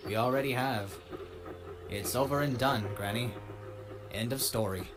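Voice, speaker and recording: A man's voice: medium pitch, moderate pace, noisy background, natural voice. A man voicing a wistful traveling salesman pony in a cartoon comic dub, telling his tale with earnest melodrama. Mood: bitter. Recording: very loud music underneath.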